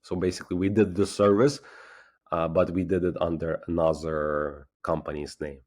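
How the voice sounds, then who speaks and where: A man from Ukraine with a Ukrainian accent, a guest on a casual podcast interview, speaking haltingly and often restarting his words, with self-deprecating humor. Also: sits low, flat delivery, darkish tone. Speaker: guest, agency founder.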